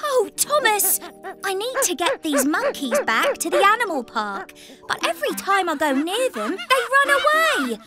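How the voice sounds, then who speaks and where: Very high-pitched, harried girl engine. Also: moderate pace. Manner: expressive, exclaiming. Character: harried, friendly young female engine. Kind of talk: children's animated series voice acting. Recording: loud children's music behind.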